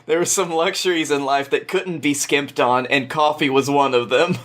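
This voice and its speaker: A man's voice: a little high, steady tone, moderate pace, a bit bright. A man appearing as a podcast guest, talking casually and reading aloud.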